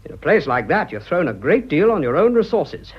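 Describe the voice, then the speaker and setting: Earnest, husky young British planter. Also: male voice, on the high side, very animated, brisk, lo-fi sound. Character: earnest, boyish young English colonial planter in love. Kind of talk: radio drama acting.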